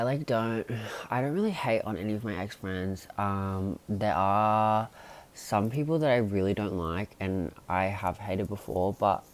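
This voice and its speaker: A young man chatting casually to camera in a rambling vlog, full of fillers and sudden excited jumps in pitch. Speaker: vlogger.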